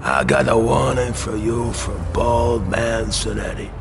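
An actor playing a menacing mob enforcer in a video game, with a gravelly, threatening delivery. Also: male voice, medium pitch, a little slow. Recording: noisy background with ambience.

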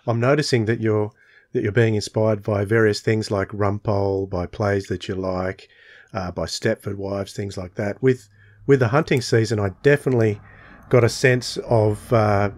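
A man's voice: fairly low pitch, no room sound. A middle-aged man chatting casually as an interview co-host, recorded on a headset. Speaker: podcast co-host.

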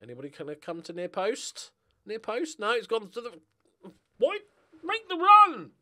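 A man's voice: high voice, huge pitch swings, moderate pace, bright, forceful tone. A middle-aged man giving casual, sweary commentary on a football manager game, talking to his players and viewers into a close mic. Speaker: gaming streamer. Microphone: close desk microphone.

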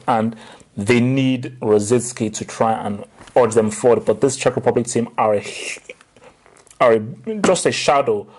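A man's voice: mid-range voice, darkish tone, rough recording. A young man giving a blunt, opinionated football match reaction from a desk in a small room. He talks emphatically, gestures a lot, and repeats his points. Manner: gestures animatedly while talking. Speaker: football pundit vlogger.

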